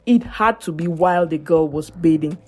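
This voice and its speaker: A woman's voice: fairly low pitch, normal speed, a little mellow. A woman narrating a scripted dramatic folktale in an emphatic storytelling manner.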